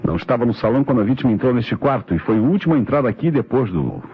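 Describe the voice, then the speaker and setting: A Brazilian man playing a methodical, authoritative police inspector in an old radio mystery, explaining in a deliberate, declamatory way. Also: mid-range voice, lively intonation, breathy edge. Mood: assured, expository. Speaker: radio drama actor. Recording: dull, noisy vintage radio recording.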